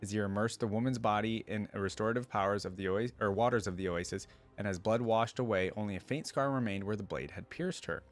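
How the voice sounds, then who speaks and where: Low-voiced man doing a lore reaction. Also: fast, hardly any pauses, soft background music. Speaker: YouTube reaction host. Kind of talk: reading lore aloud with casual reactions.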